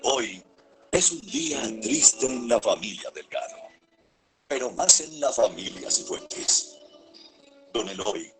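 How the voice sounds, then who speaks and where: A man narrating a scripted radio drama in a solemn, deliberate manner. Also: medium pitch, animated, music in the background, rough recording.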